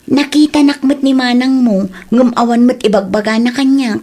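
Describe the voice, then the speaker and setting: High-voiced, hurt Ilocano village wife, acted by a woman. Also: normal speed, a little mellow, lightly acted. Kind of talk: Ilocano radio drama acting.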